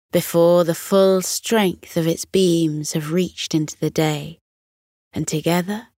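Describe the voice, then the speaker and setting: A woman narrating a scripted children's bedtime story in a gentle, soothing manner. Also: lowish voice, no echo, studio quality.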